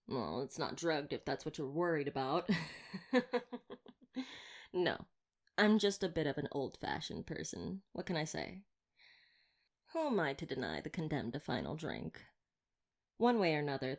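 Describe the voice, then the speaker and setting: A woman playing a chatty, flirtatious, theatrical vampire hunter in an audio roleplay, wordy, teasing and mock-grand. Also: low voice. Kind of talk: ASMR roleplay audio drama addressed to listener.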